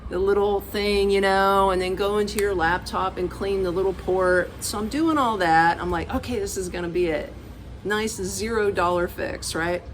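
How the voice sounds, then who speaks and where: An American woman vlogging casually, telling stories in an animated, colloquial way with direct asides to the viewer. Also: mid-range voice, normal speed, a little hiss or hum. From United States. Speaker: expat vlogger. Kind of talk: casual chat to camera.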